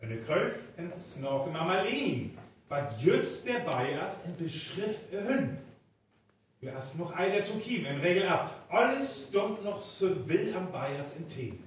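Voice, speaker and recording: A man acting a theatrical storyteller in a live North Frisian radio play, deliberate and declamatory. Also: sits high, sing-song, heavily acted. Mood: narrating. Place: live performance in a hall. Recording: echoey hall, distant, some noise.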